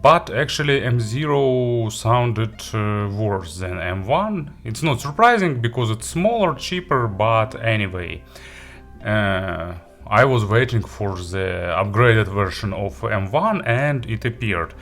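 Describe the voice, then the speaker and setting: A man giving a casual gadget review and unboxing, halting and hesitant as he thinks aloud. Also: lowish voice, expressive, fairly bright tone, faint music behind. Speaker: audio gear reviewer.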